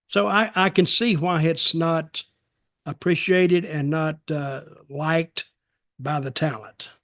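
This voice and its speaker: An older man with a somewhat high voice talks casually on a podcast. He gives his opinions haltingly, often repeating a phrase and starting it again. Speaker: podcast co-host and wrestling veteran. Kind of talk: casual chat, reminiscing and giving opinions.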